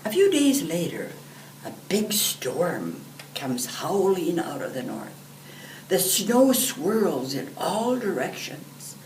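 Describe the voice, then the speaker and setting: Older woman, animated low-ish pitch, children's storytelling, deliberate, roomy distant recording. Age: 70s or older. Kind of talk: reading a picture book aloud to children. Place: indoor room, seated. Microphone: camera a few feet away. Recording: roomy, distant microphone, background hiss.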